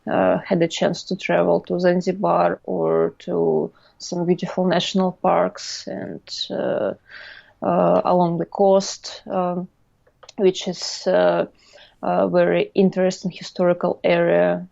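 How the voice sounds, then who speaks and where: A woman's voice: fairly low pitch, flat delivery, slow, creaky, warm tone, no echo, highs cut off. A young adult woman from Russia with a Russian accent, a guest speaking English as a second language in a casual interview, hesitant with many fillers.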